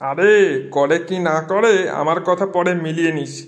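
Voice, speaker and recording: A man plays a meddling village schemer in a moral-story cartoon. His voice sits high for a man. He talks in a pushy, scornful and persuasive way, like someone steering a gullible listener. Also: normal speed, natural voice. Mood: sly persuasion. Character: scheming older village acquaintance sowing distrust between friends.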